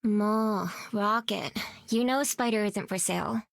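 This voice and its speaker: A woman's voice: mid-range voice, unhurried, creaky, no echo. An actress playing an upbeat, confident young hero girl in a video game, casual and plucky. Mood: friendly, cautioning.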